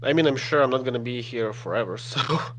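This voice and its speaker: A man's voice: medium pitch, fast talker, noisy background. A man on a group voice chat, talking hesitantly with frequent restarts. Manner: hesitant, stumbling.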